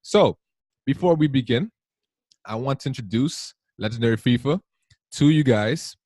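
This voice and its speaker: A man hosting a casual podcast, rambling warmly with many fillers and restarts. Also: mid-range voice, animated, normal speed, no room sound. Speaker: podcast host.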